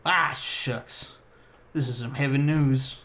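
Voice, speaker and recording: A woman's voice: extremely low pitch, slow pace, a bit bright, heavily acted. Woman voicing a folksy Southern US country pony. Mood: folksy, dismayed. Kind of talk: parody cartoon dub.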